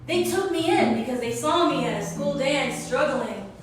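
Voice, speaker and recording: A woman performing an autobiographical stage monologue, telling it expressively and dramatically with drawn-out words. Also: high voice, lively intonation. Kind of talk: theatrical autobiographical monologue, loosely improvised. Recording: very echoey, distant, music behind.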